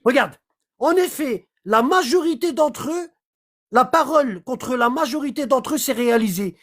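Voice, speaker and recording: Animated livestreamer explaining scripture. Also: sits high, moderate pace. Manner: animated, acts out little dialogues, keeps checking in with "hein?" and "d'accord?". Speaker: livestreamer explaining Quran verses. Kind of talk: casual livestream commentary.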